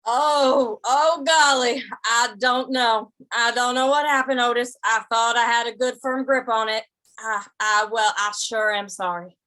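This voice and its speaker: A woman's voice: on the high side, fairly even intonation, a little slow, pushed and bright, rough recording. A woman playing a hesitant, apologetic, stammering cowhand in an old-time western radio play. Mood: dismayed, sorry.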